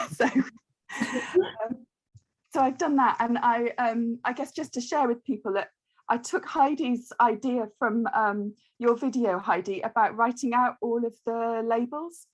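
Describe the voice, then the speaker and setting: Woman participant on a video call, slightly high voice, chatty and a bit apologetic. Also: a little slow, rough recording. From United Kingdom. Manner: hesitant, apologetic, lots of ums. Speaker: quilt-along participant. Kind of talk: casual chat on a video call.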